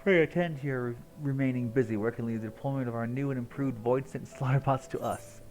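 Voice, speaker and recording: A man's voice: medium pitch, fast, darkish tone, low-quality recording. A man livestreaming a video game, reading dialogue aloud and commenting casually. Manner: casual, sweary interjections. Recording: game music behind the voice. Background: video game music.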